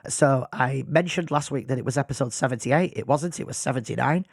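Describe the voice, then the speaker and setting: A man's voice: medium pitch, a little fast, gravelly, dry recording, clean studio sound. A man who is losing his voice, hosting a casual solo podcast in a self-deprecating, rambling way. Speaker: podcast host. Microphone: podcast microphone.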